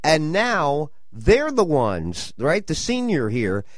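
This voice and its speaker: A man's voice: fairly high pitch, huge pitch swings, slow pace, no echo, clean studio sound. A man hosting a conversational radio talk show, with an effusive manner and dramatic phrasing.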